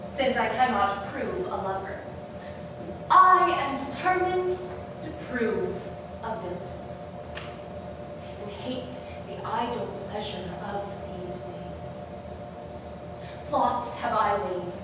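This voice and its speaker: A woman playing a scheming, bitter royal villain in a theatrical Shakespearean staged reading, with dramatic, weighted pauses. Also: mid-range voice, expressive. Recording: very echoey noisy hall, distant mic.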